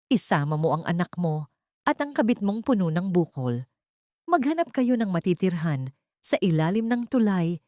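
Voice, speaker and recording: A woman's voice: fairly low pitch, a little mellow, no room sound, clean studio sound. A woman voicing a wealthy betrayed wife in an audio drama, icy, scornful and vengeful. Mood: cold, commanding. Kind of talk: dramatic audio story dialogue.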